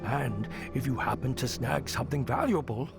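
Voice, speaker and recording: An actor playing a sly storyteller and trickster in a video game, wheedling in theatrical, old-fashioned phrasing. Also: male voice, mid-range voice, moderate pace, gravelly, music in the background, lightly acted. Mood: conspiratorial. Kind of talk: video game cutscene acting.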